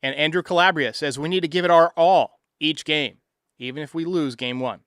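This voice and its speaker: A man's voice: a little high, fairly quick, a bit bright, smooth, no echo, clean studio sound. An American man hosting a sports fan show, giving commentary and responding to voicemails.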